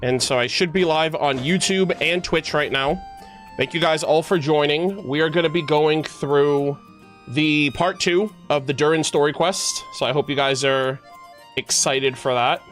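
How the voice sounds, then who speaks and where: Higher-pitched man chatting on a livestream. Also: measured pace, a bit bright. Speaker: livestreamer, host. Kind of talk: casual livestream chat. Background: music.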